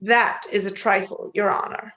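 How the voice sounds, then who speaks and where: A woman playing a dismissive, philosophizing country doctor in a play reading over a video call, measured and matter-of-fact. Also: mid-range voice, flat delivery, fairly bright tone, lightly acted. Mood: unruffled. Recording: slightly dull video-call audio.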